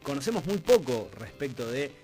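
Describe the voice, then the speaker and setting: A man's voice: mid-range voice, very animated, moderate pace, a little mellow. An Argentine man hosts a radio interview in a mid-range voice. He talks conversationally and reflectively, often breaking up long questions as he goes. Faint music plays behind him. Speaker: radio host interviewing guests. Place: radio studio.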